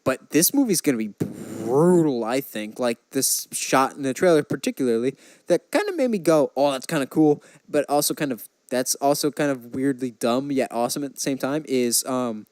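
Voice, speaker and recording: A man with a mid-pitched voice talks casually as a podcast co-host. He sounds like an opinionated, enthusiastic fan, recorded close and dry with hardly any room sound. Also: expressive, moderate pace, a little mellow, no room sound. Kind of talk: casual movie chat.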